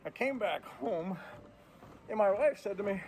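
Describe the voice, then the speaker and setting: A man's voice: high voice, animated, a little slow, gravelly, darkish tone, slight background noise. A man in his fifties, a truck driver talking casually to viewers while walking on a treadmill, blunt and plainspoken. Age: 50s. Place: indoors on a treadmill.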